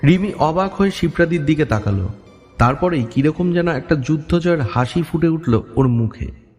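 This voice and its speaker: High-pitched male horror narrator. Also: lively intonation, normal speed, darkish tone. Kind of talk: dramatic horror story narration. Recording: long reverb, music underneath. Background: music.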